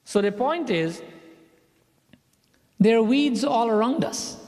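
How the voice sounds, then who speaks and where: A man's voice: very high-pitched, animated, takes it slowly, darkish tone, long reverb tail. A man from Guyana leading a satsang, preaching in a conversational way with personal stories and frequent restarts. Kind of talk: sermon.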